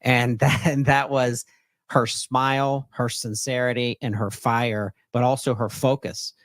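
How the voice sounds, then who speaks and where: A man's voice: medium pitch, level tone, a little slow, no room sound. Man hosting a podcast, dry close sound. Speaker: podcast host. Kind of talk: podcast introduction and interview.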